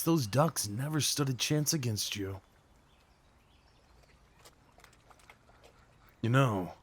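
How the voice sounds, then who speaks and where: A man acting as a sweet, nervous gentleman on a first date, in an intimate audio roleplay. He speaks at a middling pitch, tender and earnest. His manner is hesitant and apologetic, as if unsure of himself. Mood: fond, admiring.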